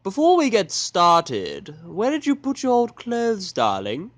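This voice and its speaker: Male performer as female cartoon pony, high-pitched, prim, haughty, theatrical, drawn-out words, dry recording. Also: huge pitch swings, no echo, heavily acted. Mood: haughty, disdainful. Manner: haughty, drawn-out, dramatic delivery. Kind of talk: fan fiction audio drama character voice.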